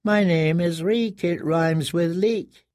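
Man doing a cowering male prisoner, high, strained, pleading, cracked. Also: slow, a little mellow, no room sound, studio quality. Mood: whimpering. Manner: whimpering, pleading. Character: broken, terrified young male captive.

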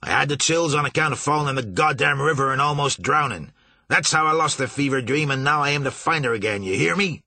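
A man doing a gruff bellowing riverboat captain. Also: a little high, fast, no echo, voice pushed up, exaggerated voice. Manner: loud, cursing, demanding.

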